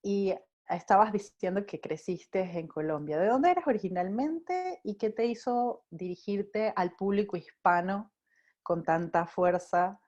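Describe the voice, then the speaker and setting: A woman hosts an interview, with a voice that sits in the middle of the range. She asks her questions softly and keeps her acknowledgements short. The recording sounds somewhat dull and lo-fi. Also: medium pitch, a little slow. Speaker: podcast host.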